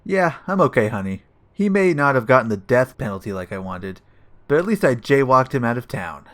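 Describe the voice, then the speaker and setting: Man playing a cocky, dim detective with swooping pitch. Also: very animated, fairly quick, lightly acted. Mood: smug. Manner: self-important tough talk. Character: cocky, dim-witted male police detective who talks tough. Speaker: voice actor in an audio play. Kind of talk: comedic audio drama acting.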